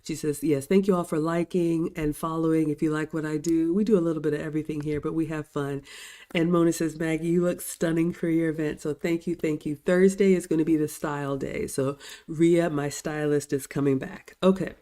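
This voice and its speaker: A low-voiced, middle-aged woman with a slightly raspy edge, still getting over a cold. She hosts a casual livestream, chatting away and answering viewer comments in a warm, mellow tone. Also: fairly even intonation, brisk. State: recovering from a cold. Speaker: livestream host and etiquette teacher.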